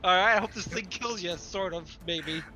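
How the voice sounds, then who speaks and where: A man's voice: high voice, normal speed, bright, forceful tone, soft background music. A man chatting casually as a player at a tabletop roleplaying game.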